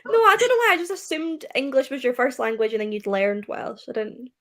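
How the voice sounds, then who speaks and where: A woman chatting on a video call, excitable and quick to exclaim. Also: sits high. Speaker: co-host. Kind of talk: casual chat on a live stream.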